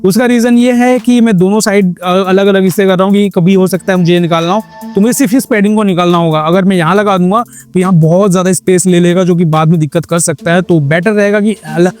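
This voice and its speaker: High-pitched man giving casual tutorial. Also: fairly even intonation, fast talker, few pauses, darkish tone, faint music behind. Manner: instructional, step by step, mixing in English words. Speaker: motorcycle vlogger and tutorial host. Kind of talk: casual hands-on how-to narration.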